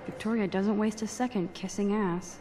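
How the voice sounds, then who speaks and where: A woman's voice: mid-range voice, steady tone, normal speed, mellow, natural voice. Understated, introspective teenage girl played by a woman. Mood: impressed musing. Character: shy, self-deprecating teenage girl photography student. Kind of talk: inner monologue in a video game.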